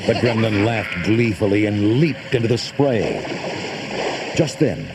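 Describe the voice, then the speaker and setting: A man's voice: on the low side, big pitch swings, unhurried, background noise. A man reading a children's storybook as narrator, with dramatic, suspenseful delivery. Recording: old cassette tape with music behind.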